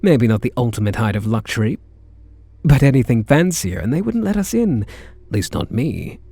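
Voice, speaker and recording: Male actor as lovestruck kidnapper, British accent, sweet-talking bouncer, intimate ASMR roleplay, very varied pitch, dry sound. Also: big pitch swings, gravelly, mellow, breathy edge, no room sound, exaggerated voice. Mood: wistful, flirtatious. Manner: slow, hesitant sweet talk with pet names.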